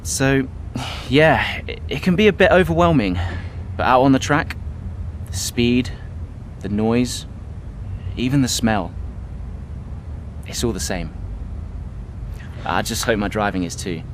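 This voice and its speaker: A man's voice: mid-range voice, animated, slow pace, pauses often, a little hiss or hum. An actor playing a humble, eager young male rookie racing driver in a game cutscene. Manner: modest, joking.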